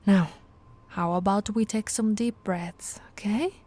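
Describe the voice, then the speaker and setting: A woman playing a patient, teasing policewoman in an intimate ASMR roleplay, talking in a soft, coaxing, conversational way. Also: mid-range voice, unhurried, soft background music, close to an everyday voice. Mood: gentle, coaxing. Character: flirty, patient, teasing policewoman reassuring a suspect.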